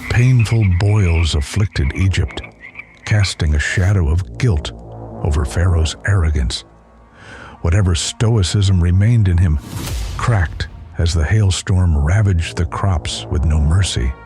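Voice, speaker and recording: A man giving scripted, theatrical first-person narration for an audio drama in a solemn, measured manner. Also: sits low. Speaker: narrator and voice actor. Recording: prominent music behind. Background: music.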